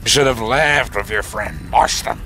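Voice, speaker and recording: A gravelly, sneering outlaw villain. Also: male voice, medium pitch, moderate pace, bright, forceful tone. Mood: menacing, taunting. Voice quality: gravelly, rasping. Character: sneering, treacherous outlaw villain, cruel and taunting. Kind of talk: video game fan dub acting. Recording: music under the voice.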